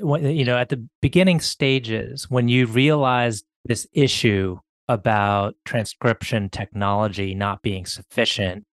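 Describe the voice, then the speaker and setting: A man's voice: medium pitch, smooth, no echo. A man hosting an interview, asking long, thoughtful, carefully phrased questions. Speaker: podcast host.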